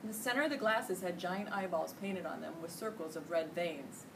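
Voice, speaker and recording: A middle-aged American woman reading a children's storybook aloud with expressive, emphatic delivery. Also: lowish voice, normal speed, gravelly. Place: indoor school classroom. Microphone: distant camera microphone. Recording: distant camera mic, noisy room.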